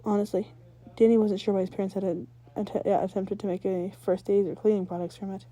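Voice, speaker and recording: A woman narrating fan fiction as an amateur reader, a little halting, sometimes stumbling and rereading words. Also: medium pitch, flat delivery, dark tone.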